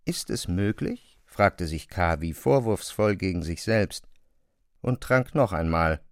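A man with speech narrating a literary classic in a measured, expressive way. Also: deep voice, dry recording, clean studio sound. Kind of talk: literary audiobook narration, dramatized radio reading.

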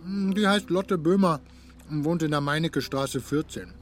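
A man's voice: fairly high pitch, measured pace, over music, lightly acted. An actor playing a smug, self-absorbed young sportsman who chats away boastfully about himself in a German audio drama, with little hums between phrases. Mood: casual bragging.

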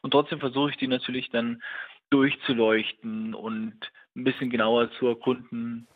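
Male interviewee, writer. Flat intonation, thinks aloud tentatively, tinny telephone-quality sound. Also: medium pitch, normal speed. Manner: tentative, searching for words, self-correcting. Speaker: writer and radio play director being interviewed. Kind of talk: reflective interview talk about own work. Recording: tinny phone-like line.

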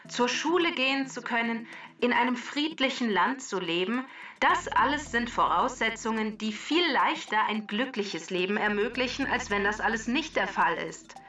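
Bright, distant-sounding woman explaining. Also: on the high side. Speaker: expert explainer. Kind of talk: clear explanatory delivery.

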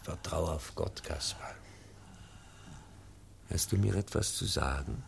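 A man's voice: sits low, takes it slowly, creaky, slight background noise, exaggerated voice. An actor playing a solemn, grieving male professor and devoted teacher in a radio drama, emphatic and bitter. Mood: tender, grieving.

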